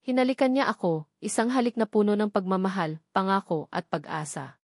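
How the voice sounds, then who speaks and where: A woman's voice: mid-range voice, a little fast, dry recording, studio quality. A woman narrating a scripted romantic drama story in the first person.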